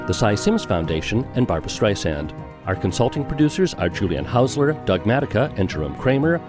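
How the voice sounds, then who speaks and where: Man, podcast narrator, animated intonation, scripted documentary delivery, clear music behind the voice. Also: medium pitch.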